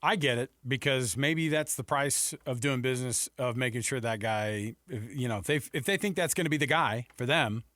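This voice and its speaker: A man's voice: mid-range voice, normal speed, few pauses, fairly bright tone, no room sound. An American man co-hosting a sports talk show, chatting informally and rambling through his points with conversational asides.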